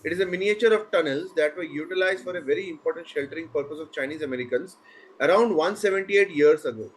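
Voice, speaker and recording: A man's voice: a little high, quick pace, slight background noise, poor audio. A man with an Indian English accent hosting an online quiz, reading questions steadily and chatting between answers. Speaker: quizmaster.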